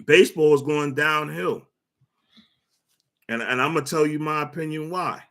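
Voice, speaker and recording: A man's voice: a little high, moderate pace, fairly bright tone. Rambling opinionated man ranting about sports. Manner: rambling, with frequent fillers and repeated words; blunt and opinionated. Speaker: sports commentary host. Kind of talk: casual sports rant.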